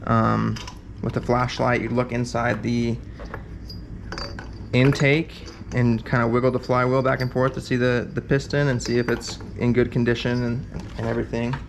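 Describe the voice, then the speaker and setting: Man, casual repair walkthrough, gravelly, rambling with fillers, faint workshop noise. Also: mid-range voice, little pitch movement, normal speed. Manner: rambling, filler-heavy, thinking aloud while working. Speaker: competitor explaining a repair event. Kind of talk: casual hands-on tutorial.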